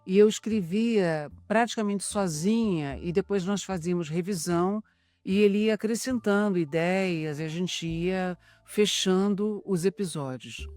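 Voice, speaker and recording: A woman's voice: on the low side, darkish tone, no echo. A Brazilian woman, a radio drama writer, explaining her work in an interview.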